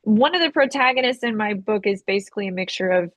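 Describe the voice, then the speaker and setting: A woman's voice: medium pitch, animated, fast, fairly bright tone, no room sound. A woman author asking questions and telling stories in a casual video-call chat. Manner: curious, asks questions.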